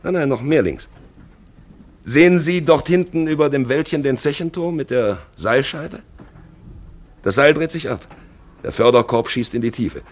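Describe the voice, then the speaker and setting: A man playing an eloquent narrator in a 1950s German radio play, addressing the listener directly in a dramatic, rhetorical way. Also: mid-range voice. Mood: urgent, pointing out. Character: eloquent male narrator guiding the listener through a drab town.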